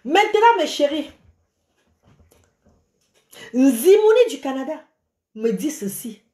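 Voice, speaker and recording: A woman's voice: sits high, slow. Woman, middle-aged, exclaiming, phone held at arm's length, echoey room. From Cameroon. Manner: animated and exclaiming, gesturing a lot. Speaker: live-stream vlogger. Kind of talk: live video rant to followers. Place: small indoor room by a curtain.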